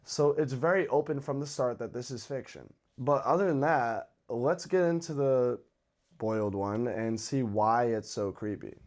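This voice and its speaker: A man's voice: medium pitch, normal speed. A man speaking as the host of a horror video channel, giving casual commentary in a conversational, informal way. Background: background music with guitar.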